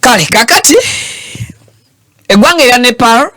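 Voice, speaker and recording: A Ugandan man hosting a show, giving animated and teasing political commentary that switches languages mid-sentence. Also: very high-pitched, normal speed.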